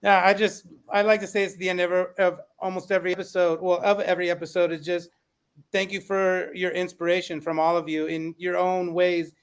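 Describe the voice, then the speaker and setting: A man's voice: high-pitched, flat delivery, fairly quick, clean tone. A man co-hosting a podcast, an educator giving earnest thanks to the panel. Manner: earnest, grateful. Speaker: co-host, educator. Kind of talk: closing thank-you remarks.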